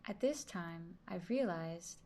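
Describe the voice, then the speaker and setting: A woman voicing a host's interview questions, with steady, deliberate phrasing. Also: on the low side, a little hiss or hum.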